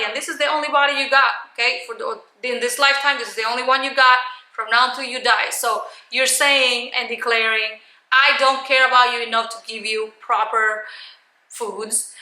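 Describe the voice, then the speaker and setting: A woman giving a motivational coaching talk to camera in a loud, emphatic, blunt manner. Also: fairly high pitch, reverberant, low-quality recording. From Cuban. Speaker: life coach, video host.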